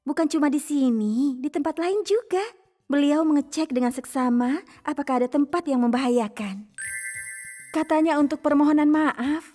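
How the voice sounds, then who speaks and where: A kind deer kindergarten teacher, voiced by a woman, speaks politely in a high voice. It is children's cartoon acting with faint music behind. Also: moderate pace, dark tone, natural voice. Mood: gentle praise. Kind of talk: animated cartoon dub acting.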